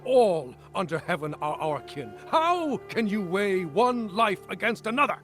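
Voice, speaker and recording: An actor playing an indignant, idealistic comrade in a video game, reproachful and expressive. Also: male voice, sits high, measured pace, soft background music, exaggerated voice. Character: concerned, indignant idealistic comrade rebuking a colleague. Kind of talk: dramatic video game voice acting.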